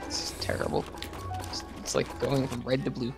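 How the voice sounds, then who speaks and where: A man's voice: mid-range voice, monotone, normal speed, loud music behind the voice. An American man reading livestream chat aloud and chatting casually, with dry joking asides.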